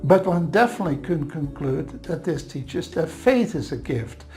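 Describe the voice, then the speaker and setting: A man's voice: on the high side, animated, moderate pace, dark tone, soft background music. Dutch-accented man teaching Bible grammar. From Netherlands. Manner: earnest, explanatory, reassuring asides.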